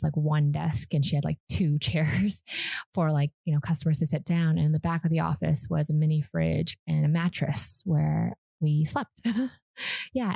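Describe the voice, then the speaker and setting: Casting: low, gravelly woman guest, talkative, dry close sound. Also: warm tone, no room sound. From Canada. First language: Vietnamese. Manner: chatty, laughs often, many fillers. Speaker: guest founder. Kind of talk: casual podcast interview.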